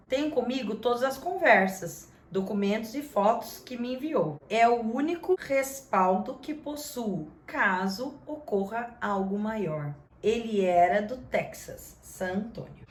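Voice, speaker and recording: A Brazilian woman hosting a channel, telling a story straight to her viewers in an indignant tone and reading out messages. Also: a little high. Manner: indignant, talks straight to the audience. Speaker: channel host. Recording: roomy echo.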